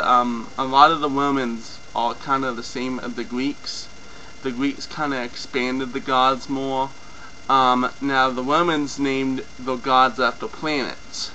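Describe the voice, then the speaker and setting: A young man casually explaining a topic off the cuff, with a lot of ums and self-corrections, his voice a little hoarse from a cold. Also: medium pitch, sharp tone, low-quality recording. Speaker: mythology video host. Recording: faint mains hum.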